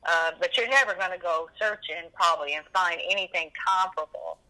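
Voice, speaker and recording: A woman in her seventies reminiscing as an interview guest, animated and emphatic, often rambling and repeating herself. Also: lowish voice, brisk, poor audio. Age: 70s.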